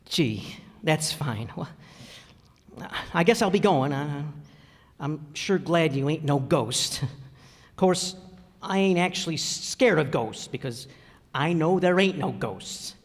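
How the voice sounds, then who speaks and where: An actor playing a plain-spoken, good-hearted middle-aged family man in an old-time radio show, talking in a halting, folksy, ungrammatical way. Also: a little high, animated, heavily acted. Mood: nervous, sheepish. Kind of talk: old-time radio comedy-drama acting. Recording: reverberant hall stage recording.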